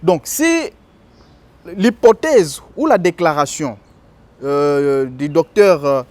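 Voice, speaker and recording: A man talks through legal points like a teacher. His voice sits high for a man, and he pauses often on fillers as he explains. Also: animated, darkish tone. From DR Congo. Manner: didactic, with frequent hesitations. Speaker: political commentator. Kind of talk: explanatory commentary.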